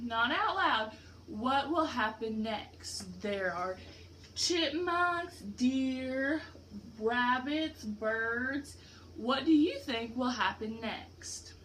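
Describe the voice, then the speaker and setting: A woman teacher reads a picture book aloud in a high, lively voice. She goes slowly, pausing to explain things simply and question her pupils. There is some background noise. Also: rough recording. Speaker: teacher reading aloud to a class. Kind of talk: interactive children's picture-book read-aloud.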